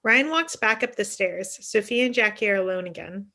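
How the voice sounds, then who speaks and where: Woman, twenties, scripted narration, bright, mid-pitched, video call. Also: lively intonation, moderate pace. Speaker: stage directions reader. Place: indoor room on a video call.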